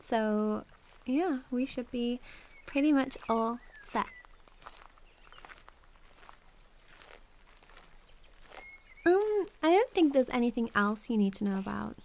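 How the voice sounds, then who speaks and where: A young woman playing a warm, playful best friend in an intimate ASMR roleplay, chatting casually with a giggly, teasing, hesitant manner. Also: on the high side. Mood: cheerful, reassuring.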